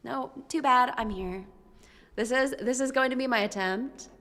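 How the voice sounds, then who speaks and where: A woman's voice: a little high, normal speed. A young woman streaming as a VTuber, chatting in a rambling, self-deprecating way between songs while playing guitar. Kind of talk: casual live-stream chat between songs. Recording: long reverb with loud guitar.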